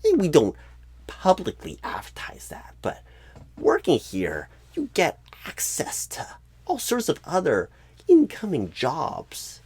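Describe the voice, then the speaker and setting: A man voicing a clueless, bumbling job recruiter in an ASMR roleplay, earnest and scatterbrained, with halting, oddly stressed phrasing and a husky, breathy voice. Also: fairly high pitch, huge pitch swings, darkish tone, no room sound, full character voice. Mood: earnest, rambling. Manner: halting, oddly stressed phrases in a Christopher Walken-style impression.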